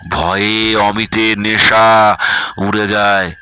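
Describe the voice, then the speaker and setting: A man's voice: lowish voice, flat delivery, slow, sharp tone, clean tone. A man narrating a scripted horror story as its storyteller.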